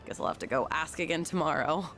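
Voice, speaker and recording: A woman's voice: deep voice, very animated, normal speed, vocal fry, fairly bright tone, heavily acted. A woman playing an upbeat, sporty teenage schoolgirl in a video game, chatty, frank and playful. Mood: exasperated, amused. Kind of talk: English video game voice acting.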